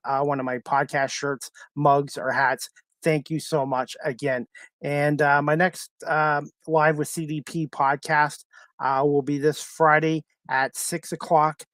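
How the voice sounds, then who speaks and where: Higher-voiced male podcast host, dry close sound. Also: fairly bright tone, no echo. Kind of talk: casual livestream podcast sign-off.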